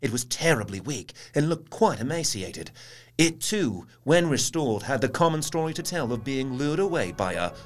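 A mid-range male voice, an actor as a sober Victorian newspaper reporter in an audio drama. The delivery is formal and measured, like a report read aloud. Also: mid-range voice, big pitch swings, faint music behind, lightly acted. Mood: urgent reporting. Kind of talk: formal dramatic news reading.

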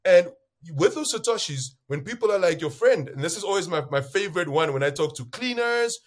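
A loud-voiced man from Namibia talks in an interview about his work. He tells his stories with energy, slipping into quoted voices. His voice sits a little high for a man. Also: a little fast. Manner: acts out bar conversations, lively quoted voices. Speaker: bitcoin entrepreneur guest. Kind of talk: animated interview storytelling.

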